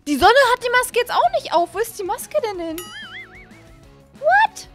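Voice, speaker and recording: A young woman hosting a gaming video, giving casual, animated and excitable commentary into a close desk microphone. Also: extremely high pitch, a little slow. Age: young adult. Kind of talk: casual let's-play commentary. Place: small indoor streaming room. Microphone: close desk microphone on an arm. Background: faint music.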